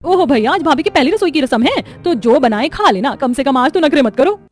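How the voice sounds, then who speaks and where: A woman's voice: sits high, animated, a little fast, voice pushed up. A woman voicing a cheeky, teasing young sister in a story, sarcastic and scolding. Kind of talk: cartoon moral-story acting.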